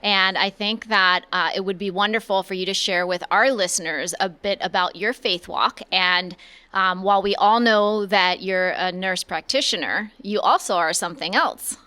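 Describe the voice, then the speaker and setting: A woman co-hosting a podcast interview. Her voice is bright and smooth, and she asks her questions in a measured, unhurried way. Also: mid-range voice. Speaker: podcast co-host.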